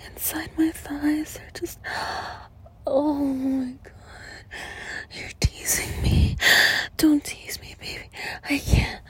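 Breathy, high-voiced woman, slow and intimate. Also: mellow. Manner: breathy, often dropping into whispers; moaning, gasping delivery with drawn-out words. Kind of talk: intimate erotic audio, speaking to a partner.

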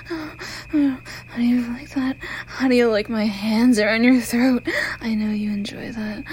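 A woman's voice: on the high side, a little hiss or hum, close to an everyday voice. A woman playing a sadistic, possessive killer in explicit erotic audio roleplay, whispering breathily as she taunts and commands her captive lover. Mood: cruel, commanding.

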